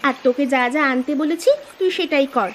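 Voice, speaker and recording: A woman plays a food-loving, carefree cartoon sister in a high voice. She is bossy and brushes worries aside breezily. A little noise sits behind her. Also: fairly quick, lightly acted. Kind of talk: scripted cartoon story dialogue.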